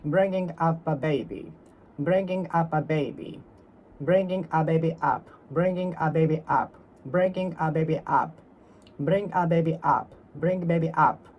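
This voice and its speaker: A speaker from Japan with a Japanese accent, practicing English alone, repeating and reworking each sentence in a careful, halting way. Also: mid-range voice, dull audio, a little hiss or hum. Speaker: English learner. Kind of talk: solo speaking practice, talking to oneself.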